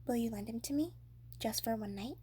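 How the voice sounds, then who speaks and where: A woman's voice: a little high, fairly quick, dark tone, slight background noise, natural voice. A woman voicing a curious, determined little girl in a storybook, eager and coaxing.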